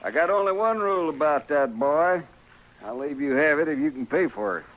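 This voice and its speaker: An actor playing a folksy, plain-spoken frontier stable keeper in an old radio western, drawling in a rustic American accent. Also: male voice, a little high, animated, fairly quick, muffled recording, lightly acted. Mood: plain, practical. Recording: noisy old radio recording.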